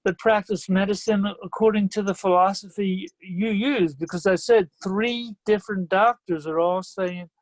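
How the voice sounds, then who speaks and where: A man with a notably high voice speaks as a caller on a live show. The sound is dry, with no room echo, and his questions are casual and conversational. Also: fairly even intonation, normal speed, few pauses. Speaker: caller asking for advice. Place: live video call.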